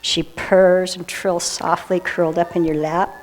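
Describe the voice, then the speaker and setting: A woman telling a story and rhyming poem to children, expressive, with hushed moments. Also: lowish voice, measured pace, rough recording.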